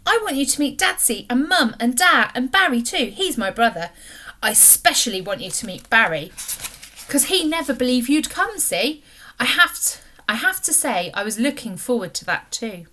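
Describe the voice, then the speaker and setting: A woman's voice: fairly high pitch, very animated, normal speed, sharp tone, exaggerated voice. A woman voicing a bossy, determined little girl who talks eagerly and in commands.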